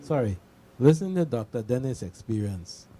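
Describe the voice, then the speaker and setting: Mellow-voiced man in earnest, colloquial discussion. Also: mid-range voice, big pitch swings, normal speed, slight background noise. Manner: colloquial, earnest, repeats "you know". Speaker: radio panelist. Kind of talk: casual panel discussion.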